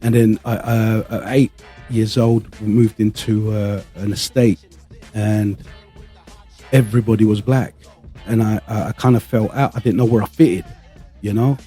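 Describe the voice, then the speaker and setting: A male podcast host who grew up speaking Greek first. His voice is dark and rough-edged at a middle pitch. He talks casually and openly, pausing to find his words, with faint music behind him. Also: steady tone. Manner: halting, candid, searching for words. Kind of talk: casual panel chat.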